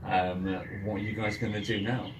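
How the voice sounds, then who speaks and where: Low voiced guy game mastering for his mates, hesitant and repeating himself, far from the mic in an echoey noisy room. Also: fairly even intonation, normal speed. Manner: informal, hesitant, repeats words as he thinks. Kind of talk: casual tabletop game narration among friends. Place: indoor room, in-person game session. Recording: roomy, distant mic, noisy background. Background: ambient music and noise.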